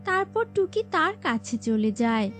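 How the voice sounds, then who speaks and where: A woman's voice: high-pitched, very animated, normal speed, fairly bright tone, faint music behind. A woman narrator reading a scripted children's cartoon story.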